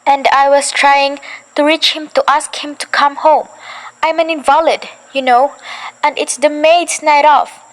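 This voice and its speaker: A fretful, demanding invalid housewife who grows impatient and talks in a rush, voiced by a young actress. Her voice sits high. It is a school radio play production. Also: level tone, poor audio. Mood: anxious. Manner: fretful, impatient, talks fast when agitated. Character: anxious, demanding invalid housewife, adult woman.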